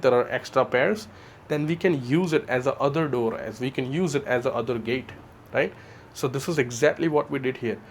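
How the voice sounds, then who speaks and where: A man presents a product in an informal, explanatory way, often tagging his points with a questioning "right?". His voice sits at an average pitch. It is recorded on location at a building entrance, with faint noise behind him. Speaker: security installer presenting a product. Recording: clear background noise on location.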